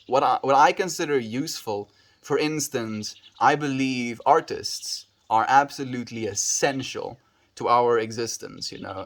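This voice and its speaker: A man with a South African accent, streaming live and chatting casually with viewers, easygoing and full of dude and bro. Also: mid-range voice. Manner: easygoing, calls viewers dude and bro, trails off mid-sentence. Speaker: livestreamer. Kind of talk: casual livestream chat answering viewers.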